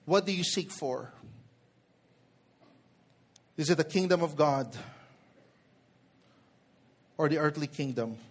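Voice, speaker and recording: Preacher, male, forties, Filipino accent. High voice, emphatic sermon delivery, addresses listeners directly. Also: takes it slowly, pauses often. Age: 40s. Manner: code-switches between English and Tagalog; emphatic, with rhetorical questions to listeners.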